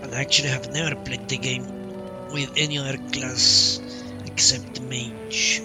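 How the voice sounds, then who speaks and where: A man's voice: medium pitch, level tone, unhurried. A man giving casual live commentary on a game he is playing, musing and a little hesitant as he goes. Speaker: game streamer. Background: game music.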